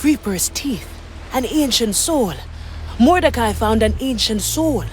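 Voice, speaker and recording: A woman's voice: on the high side, expressive, a little slow, a little mellow, slight background noise. An actress playing a bold, dry-witted pirate woman in a video game, wry and confident. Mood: pensive. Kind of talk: video game dramatic acting.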